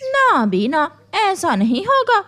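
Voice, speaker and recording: A woman's voice: extremely high pitch, huge pitch swings, takes it slowly, pushed and bright, pitched above the performer's own voice, heavily acted. A woman voicing a demanding, hard-hearted female crow moneylender in a cartoon, bossy in manner.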